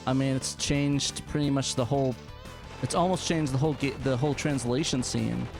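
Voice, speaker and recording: A man's voice: medium pitch, steady tone, normal speed, gravelly. A man hosting a casual chat, enthusiastic and often stumbling over his phrases. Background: game music and sound effects.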